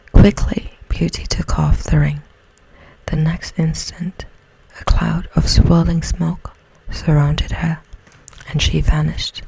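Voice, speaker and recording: A woman narrating an ASMR bedtime story in a gentle, slow, soothing, breathy whisper. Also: low voice, rough recording.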